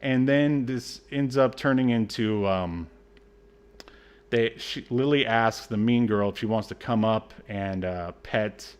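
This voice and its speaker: A man's voice: on the low side, slow pace, clear, resonant tone. A man co-hosting a podcast, recapping a film casually in a sardonic, exasperated way with some swearing. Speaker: podcast co-host.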